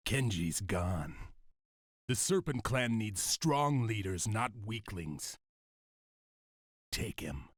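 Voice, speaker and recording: A low, husky-voiced usurper in a game cutscene, ruthless and impatient. He is scornful and commanding, heard close and dry. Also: big pitch swings, takes it slowly, pauses often, dry recording, heavily acted. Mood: sneering. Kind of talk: video game cutscene acting.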